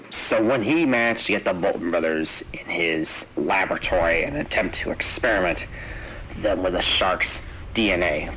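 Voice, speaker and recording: A man delivering an in-character theory monologue for a found tape, explaining earnestly in a halting, rambling way with repeats. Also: low voice, pushed and bright, slight background noise, poor audio. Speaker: narrator of an analog horror video.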